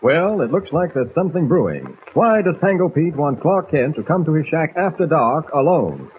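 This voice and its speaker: A man's voice: on the high side, big pitch swings, normal speed. A man narrating a 1940s radio serial as announcer in an urgent, declamatory style. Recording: tinny, noisy 1940s radio recording.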